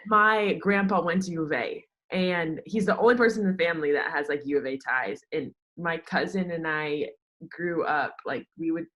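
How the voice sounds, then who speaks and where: A woman's voice: sits low, normal speed, fairly bright tone. A young American woman chatting casually as an interview guest, sprinkling "like" through her sentences and often acting out what people said. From United States. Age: young adult. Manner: chatty, peppered with "like", quotes herself and others. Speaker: interview guest, former college golfer in sports media. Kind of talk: casual chat, personal anecdotes.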